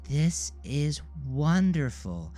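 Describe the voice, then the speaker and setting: A man voicing an earnest, enthusiastic young woman, a kind art teacher in an audio drama, with a bright, admiring manner. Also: a little high, big pitch swings, very slow, over music, pitched above the performer's own voice, full character voice. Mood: warm admiration. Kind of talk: audio drama character dialogue.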